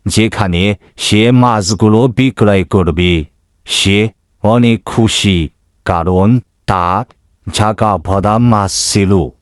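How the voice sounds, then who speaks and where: Slow-paced man narrating a folk tale. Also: lowish voice, no echo, clean studio sound. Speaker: storyteller.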